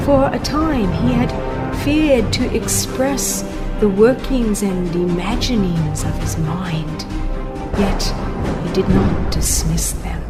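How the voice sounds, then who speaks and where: Slow, solemn woman narrator over music. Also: a little high. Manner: solemn, theatrical, deliberate, with hushed phrase endings. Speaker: audiobook narrator. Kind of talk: dramatized scripted narration of a religious book. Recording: music behind the voice. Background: background music.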